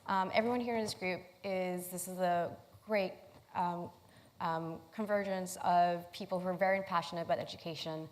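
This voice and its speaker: A woman from Thailand moderates an investor panel on a conference stage. Her voice sits very low for a woman, and she talks in a friendly, halting way with frequent ums. A long hall reverb trails her words. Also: steady tone. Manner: friendly, hesitant with many ums. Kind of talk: panel discussion.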